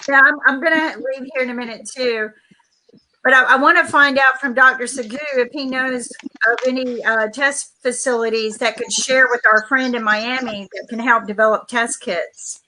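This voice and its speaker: A woman's voice: on the high side, fairly even intonation, normal speed, sharp tone, poor audio. A woman hosting a casual talk show over a video call, rambling with many restarts. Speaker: host.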